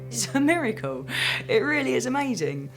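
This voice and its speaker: A woman's voice: a little high, big pitch swings, moderate pace, vocal fry, over music, rough recording. A woman with a British accent chatting casually as a documentary participant. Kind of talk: casual chat. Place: home conservatory.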